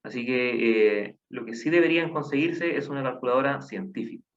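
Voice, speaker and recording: A man's voice: medium pitch, a little fast. A man teaching a math class online, patiently counting aloud and asking the class questions. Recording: dull lo-fi online class audio.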